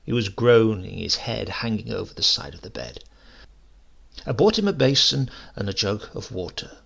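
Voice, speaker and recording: A man reads a short story aloud with a heavily creaky, gravelly voice. The tone is bright and forward, with a husky rasp. His delivery is measured and theatrical, rising and falling in a lively way. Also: fairly low pitch. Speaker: story narrator. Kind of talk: dramatic scripted narration of a humorous short story.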